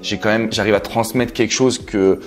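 A man's voice: fairly low pitch, fairly even intonation, moderate pace. A man, talking casually as an interview guest. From France. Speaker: interview guest, coach and entrepreneur. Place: living room, on a sofa indoors. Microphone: clip-on lapel mic. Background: background music.

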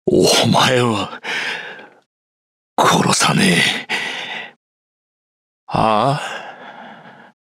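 A man voicing a savage, dazed mercenary for a video game, gravelly and raspy, halting, intense and menacing. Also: lowish voice, a bit bright, dry recording, studio quality, exaggerated voice. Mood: dazed, brooding. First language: Japanese. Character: savage, dazed male mercenary, a mad dog. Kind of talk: Japanese video game voice acting.

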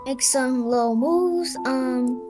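Very high-pitched, urgent young woman. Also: slow, music in the background. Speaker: game roleplay player. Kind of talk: improvised horror roleplay.

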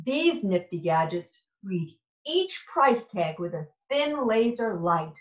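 A woman's voice: mid-range voice, sing-song, slow pace, reverberant, dull audio. A woman voicing a flamboyant, boastful supermarket manager in a theatrical way for a children's picture book. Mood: showy, excited. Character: flamboyant, excitable male supermarket manager showing off.

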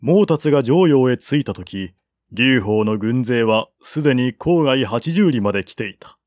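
A man reads a historical novel aloud at a slow, deliberate pace. His pitch sits in the middle of the male range. The recording is very dry, with little room sound. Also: expressive, a little mellow, dry recording. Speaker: audiobook narrator. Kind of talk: dramatic reading of a historical novel.

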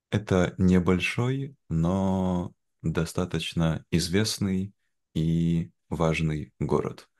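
Young male, very deep register, unhurried and measured, simple learner-friendly conversation. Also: darkish tone. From Veliky Novgorod, Russia. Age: young adult. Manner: measured, clearly phrased. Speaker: interview guest, language teacher. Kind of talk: slow, simple conversational speech for language learners. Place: indoor room, video call. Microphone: video call, wearing headphones.

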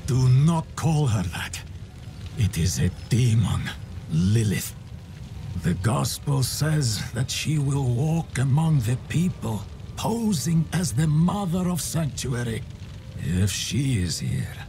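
A man's voice: mid-range voice, sing-song, warm tone, slight background noise. A man playing a stern, devout holy man who fears demons, speaking solemnly in a fantasy game cutscene. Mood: stern, grave. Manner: solemn, measured, pious. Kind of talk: dramatic video game cutscene acting.

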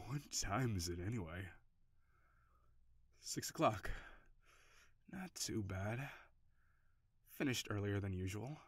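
A man playing an overworked office boyfriend in an audio roleplay. He sighs often, curses when frustrated and softens into affection. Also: on the low side, lively intonation, slow, long pauses, dry recording, clean studio sound. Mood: irritated, exasperated. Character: stressed, overworked office boyfriend, gruff but tender. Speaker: voice actor.

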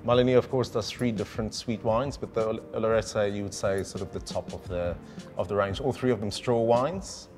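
A man's voice: lowish voice, fairly quick, a little mellow, soft background music. A middle-aged man, speaking as a wine specialist in an enthusiastic, explanatory way, standing in a decorated indoor room. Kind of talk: conversational presentation.

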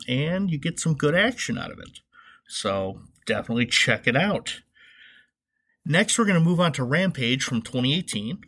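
Bright, forceful man hosting a podcast. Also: medium pitch, husky. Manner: wry, informal, joking. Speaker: podcast host. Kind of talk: casual comedic solo commentary.

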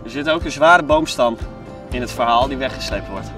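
A man's voice: medium pitch, a little fast. A man in his twenties with a Netherlandic Dutch accent, bantering casually as an online show presenter. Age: 20s. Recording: music behind the voice.

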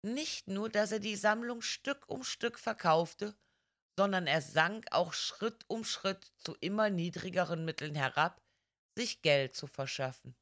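A woman voicing a polite, gentle male priest detective who explains things calmly in a crime audiobook. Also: lowish voice, measured pace. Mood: gentle, grave.

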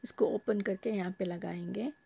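A woman's voice: mid-range voice, steady tone, moderate pace, gravelly, warm tone, a little hiss or hum. A woman vlogger casually narrating an unboxing at home, explaining each step in a homely way. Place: home, table top.

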